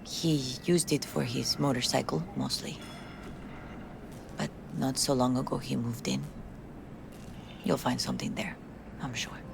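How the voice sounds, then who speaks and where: A middle-aged Mexican mother in a cinematic game scene, gravelly and composed in her grief. She speaks with gentle, maternal dignity and a Mexican accent, over clearly audible background noise. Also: a bass voice, steady tone, a little slow, long pauses, full character voice. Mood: tender, subdued. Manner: gentle, maternal, slips into Spanish endearments. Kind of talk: cinematic video game acting.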